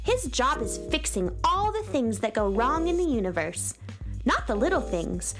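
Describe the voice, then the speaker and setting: High-voiced, earnest young space heroine. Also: female voice, big pitch swings, normal speed, soft background music. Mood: storytelling. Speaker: narrator and lead character. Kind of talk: children's radio storytelling.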